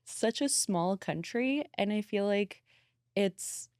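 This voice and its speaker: Woman, 20s, from Costa Rica; podcast guest, animated casual chat, dry studio sound. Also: medium pitch, slow pace, no room sound. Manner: chatty and animated, peppered with "like". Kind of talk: casual conversation.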